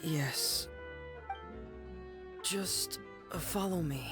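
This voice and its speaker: A woman voicing a shy, gloomy teenage boy with a hesitant, halting manner in a video game. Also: low-pitched, over loud music. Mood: reluctant.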